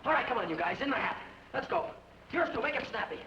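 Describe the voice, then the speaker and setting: A brash young outlaw cowboy, high-voiced and swinging wildly up and down as he mouths off. His cocky western talk comes through a muffled old radio broadcast, with a clear hiss under it. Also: very animated, quick pace, full character voice. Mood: bossy. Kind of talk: 1940s radio acting.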